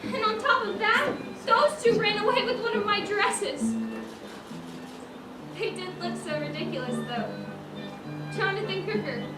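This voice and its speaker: Echoey, very high-pitched indignant woman shopkeeper acted by a woman. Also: measured pace, pauses often, distant mic, loud music behind the voice. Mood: dry amusement. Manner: exasperated, haughty, scolding. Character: indignant, proper woman shopkeeper, sharp-tongued and put-upon. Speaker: stage actor in a one-act play. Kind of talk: projected amateur stage acting. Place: theatre stage, distant microphone. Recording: echoey, noisy stage recording from afar.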